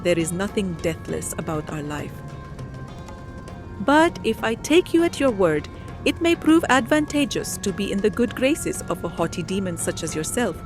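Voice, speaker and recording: An actress playing a proud, distrustful vampire matriarch in a dark fantasy audio drama, formal and cutting. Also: female voice, mid-range voice, very animated, normal speed, over music, lightly acted. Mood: guarded, sardonic. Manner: imperious, biting, formal.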